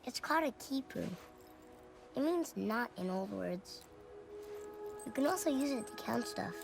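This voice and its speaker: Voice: medium pitch, animated, unhurried, vocal fry. A performer playing an earnest, shy young child in a video game cutscene, with simple, sincere phrasing. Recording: music playing behind the voice.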